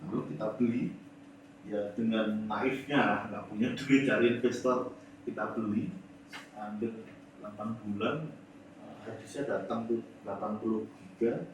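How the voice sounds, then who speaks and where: A man's voice: on the low side, unhurried. Man telling stories in an echoey room. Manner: lively anecdotal, finishes the host's phrases. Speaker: guest, animation studio founder. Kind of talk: casual interview storytelling. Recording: echoey room, distant mic, faint noise.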